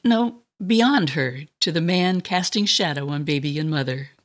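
A woman reading a scripted dramatic narration in an expressive, storybook manner. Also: deep voice, unhurried.

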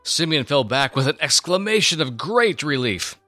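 A man narrating a scripted story in a solemn, expressive, dramatic way on a close microphone. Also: a little high, moderate pace, dry recording, soft background music. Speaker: show host and story narrator.

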